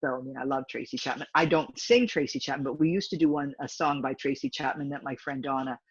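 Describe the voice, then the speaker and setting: Woman, 50s, deep low voice, husky, rambling chat, dry muffled sound. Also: fairly even intonation, fast talker, no room sound. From Canada. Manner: rambling, talkative, frequent restarts. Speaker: singer, interview guest. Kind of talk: casual interview chat.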